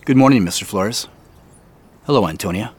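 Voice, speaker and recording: A man's voice: fairly low pitch, expressive, moderate pace, slightly rough. An actor playing a polite, easily impressed man visiting from the city in an audio drama. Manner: courteous, impressed. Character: Joseph, polite, wide-eyed grown visitor from the city. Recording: faint noise with birdsong.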